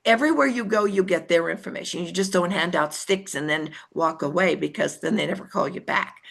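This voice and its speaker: Gravelly low-voiced older woman coaching. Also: a little fast. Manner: chatty, acts out conversations in lively voices. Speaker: network marketing leader. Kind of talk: motivational training talk.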